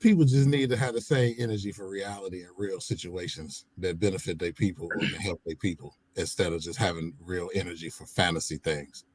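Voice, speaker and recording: A man co-hosting a podcast, arguing bluntly and loudly, cussing freely and speaking with passion. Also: deep voice, slightly rough, no room sound. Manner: loud, blunt, cusses, talks with passion. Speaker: podcast co-host. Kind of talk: heated casual debate.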